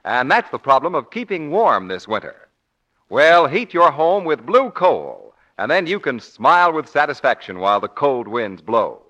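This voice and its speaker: A man's voice: on the high side, sharp tone. A man announcing in the polished, emphatic sales style of a 1940s radio commercial. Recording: muffled 1940s radio recording.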